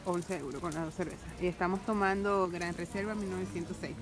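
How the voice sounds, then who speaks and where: A woman's voice: on the low side, fairly even intonation, fairly quick. A young woman chatting upbeat in a casual travel vlog, filming herself on a phone at arm's length at an outdoor Christmas market. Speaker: travel vlogger. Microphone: phone held at arm's length. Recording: busy outdoor market with loud music and crowd. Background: music and crowd.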